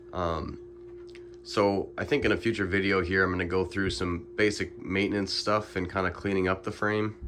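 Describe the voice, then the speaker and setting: A man's voice: low voice, a little hiss or hum. A young man getting over the flu, a little sniffly, casually walking through a bike check and talking with plenty of ums. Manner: relaxed, filler-heavy, explanatory. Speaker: bike vlogger.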